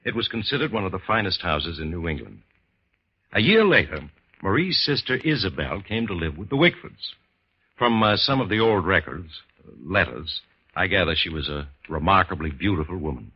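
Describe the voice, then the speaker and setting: A learned doctor speaks of the supernatural in a low, husky voice. His solemn, portentous delivery rises and falls expressively, in the manner of vintage radio horror. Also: lively intonation, normal speed, heavily acted. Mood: grave, ominous. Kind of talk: 1950s radio horror acting. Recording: dull, lo-fi vintage radio recording.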